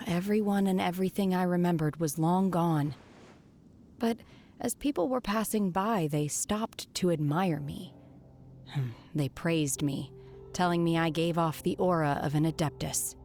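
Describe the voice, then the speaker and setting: A detached young woman from a fantasy game. Her voice sits a little low and stays calm and quiet. She speaks in measured, reflective phrases. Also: slight background noise. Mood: wistful. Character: aloof, lonely young woman exorcist. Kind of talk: game voice acting.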